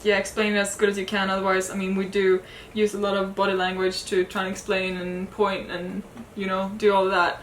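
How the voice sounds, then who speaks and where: A woman's voice: medium pitch, completely flat delivery, a little fast, hardly any pauses, reverberant, slight background noise, low-quality recording. A woman from Sweden with a Swedish accent, co-hosting a sailing chat, speaking candidly with small hesitations. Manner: thoughtful, candid, light hesitations. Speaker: sailing vlog co-host. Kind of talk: casual Q&A chat.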